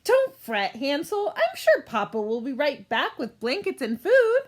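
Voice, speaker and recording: A woman's voice: high-pitched, wildly swooping pitch, measured pace, pitched above the performer's own voice, exaggerated voice. A woman voicing a confident, stubbornly optimistic little girl in a storybook, assertive, matter-of-fact and ready to argue. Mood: reassuring.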